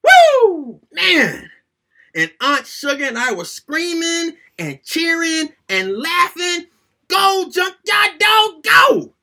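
Animated high-voiced man telling a story. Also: slow pace, vocal fry. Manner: animated, conversational storytelling in a colloquial voice. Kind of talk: dramatic reading aloud of a first-person childhood story.

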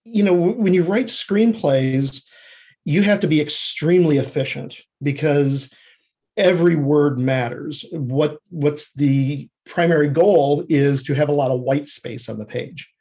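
A man speaking as an interview guest, explaining his craft in a halting, explanatory way. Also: fairly high pitch, warm tone, husky. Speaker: guest screenwriter.

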